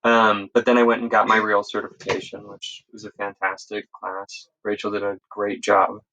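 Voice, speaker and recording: A man's voice: fairly low pitch, monotone, normal speed, pushed and bright, muffled recording. An American man telling his story as an interview guest in a casual, rambling, self-correcting way. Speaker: interview guest, EMS instructor.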